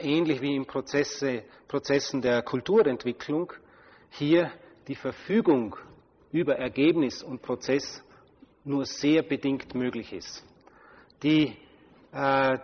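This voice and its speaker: German man, husky, academic, in a reverberant hall. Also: medium pitch. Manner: hesitant, many fillers and restarts, abstract academic phrasing. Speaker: lecturer answering questions. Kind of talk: academic discussion. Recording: muffled hall recording with long reverb.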